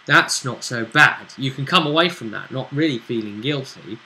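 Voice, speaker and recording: A man's voice: mid-range voice, animated, normal speed, bright, forceful tone, slight background noise, rough recording. A man acting as game master, recapping a tabletop role-playing session in an explanatory, conversational way with frequent tag questions. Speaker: game master and show host.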